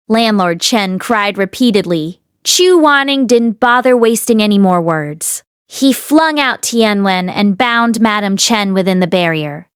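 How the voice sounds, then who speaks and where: High-voiced woman reading aloud, very dry sound. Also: clear, resonant tone, no echo. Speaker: audiobook narrator and host. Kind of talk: expressive fiction read-aloud.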